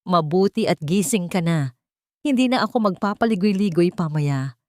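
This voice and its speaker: A woman plays a callous rich husband in an audio drama story. The delivery is blunt, impatient and condescending, slightly low and recorded dry. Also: animated, normal speed, darkish tone, no room sound, close to an everyday voice. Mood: contemptuous, impatient.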